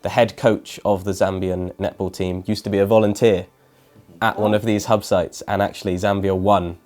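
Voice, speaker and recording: A man's voice: on the low side, little pitch movement, normal speed, a little mellow. A man working as an interviewer, asking questions and explaining things in a prepared, informative way. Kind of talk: interview questions and scripted introduction.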